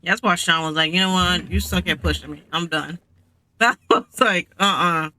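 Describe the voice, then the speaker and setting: A man's voice: sits high, moderate pace, pushed and bright. A man giving casual gameplay commentary, rambling and thinking aloud as he plays.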